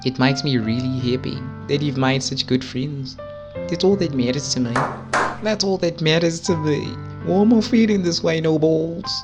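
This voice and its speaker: A man's voice: fairly high pitch, moderate pace, music in the background, voice pushed up, natural voice. A man voicing a tearful, lovesick teenage girl from a visual novel, full of self-loathing and pleading dramatically. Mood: hurt, hesitant.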